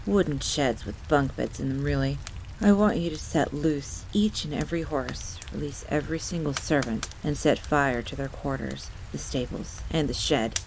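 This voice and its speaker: A commanding vampire gentleman played by a woman speaks in a lowered, composed voice. He is cool and unhurried, an aristocrat from an audio roleplay. Faint noise runs underneath. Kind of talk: in-character storytelling audio roleplay. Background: background ambience.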